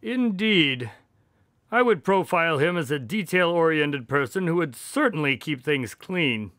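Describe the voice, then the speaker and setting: Affable, wry male doctor sidekick, played by an actor: higher-pitched, very expressive, chatty radio-drama storyteller. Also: a little slow, no room sound, studio quality. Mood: wry, puzzled. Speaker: narrator-character of a radio drama. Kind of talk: scripted radio drama narration and dialogue.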